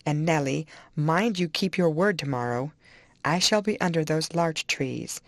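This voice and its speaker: A woman's voice: low-pitched, a little slow, no room sound. A woman voicing an anguished, fiercely passionate man in a dramatised reading of a classic novel, intense and earnest. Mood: commanding.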